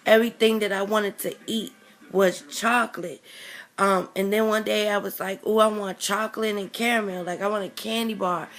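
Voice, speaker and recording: A young woman vlogging casually with a raspy voice from a chest cold, rambling with many ums and self-corrections. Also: mid-range voice, steady tone, normal speed, a bit bright. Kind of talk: casual chatty vlog.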